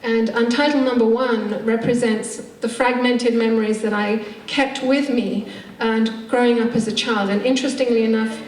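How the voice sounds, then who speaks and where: A woman's voice: a little high, fairly even intonation, moderate pace, a little mellow. A woman with an English accent giving a conference panel presentation in articulate, academic phrasing with some hesitation. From Turkish Cypriot immigrant. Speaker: theatre maker speaking on a conference panel. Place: conference room, recorded from a distance. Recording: echoey room, distant mic, background noise.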